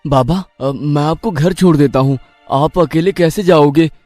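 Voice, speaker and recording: An actor playing a poor, kind-hearted village husband with earnest, expressive delivery in a moral cartoon. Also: male voice, on the high side, unhurried, no room sound, soft background music, lightly acted. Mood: concerned. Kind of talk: Hindi moral cartoon dubbing.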